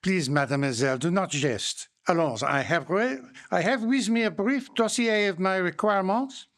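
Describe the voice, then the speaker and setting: A man's voice: high-pitched, normal speed, a bit bright. A man playing a courteous, fussy detective with a French accent, speaking formally and precisely in live radio drama style. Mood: polite, fussy. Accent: put-on French. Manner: formal, gallant, theatrically precise. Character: courteous, fussy Belgian male detective, precise and self-assured. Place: live performance in a library before an audience. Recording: live stage recording, faint noise.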